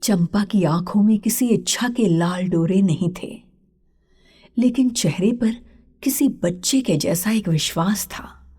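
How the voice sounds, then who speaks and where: A woman narrating a literary story as a scripted storyteller, in a husky, breathy voice. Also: medium pitch, a little slow, dark tone.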